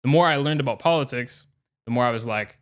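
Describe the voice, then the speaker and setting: A man's voice: medium pitch, fast talker, smooth. A man as a podcast guest in casual chat, speaking earnestly and reflectively, with the odd swear. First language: English.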